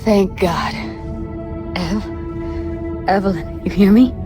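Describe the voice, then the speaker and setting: A woman's voice: mid-range voice, takes it slowly, music in the background, natural voice. A woman playing a blunt, guarded young tech expert in a naturalistic video game cutscene, with a weary manner. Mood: worried.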